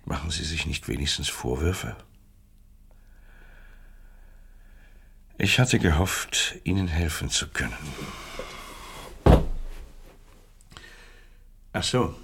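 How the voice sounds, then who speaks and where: A low, husky male interrogator from a radio play. He presses with leading questions, coldly probing, sardonic and falsely helpful. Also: a bit bright, heavily acted. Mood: coolly dismissive. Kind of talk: radio drama dialogue.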